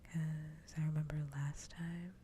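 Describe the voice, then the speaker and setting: A woman speaks in a soft, low whisper with a dark, mellow tone. Her delivery is casual ASMR livestream chat, kept very even in pitch. A faint rumble of noise sits underneath the close microphone. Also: unhurried. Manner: soft-voiced, mostly whispering.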